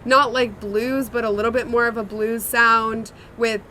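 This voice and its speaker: Young woman, songwriter interviewee, casual chat, bright voice, faint room ambience. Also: fairly high pitch, little pitch movement, a little slow, slight background noise. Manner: chatty and reflective, many "like" fillers. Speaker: songwriter and singer in a sister duo.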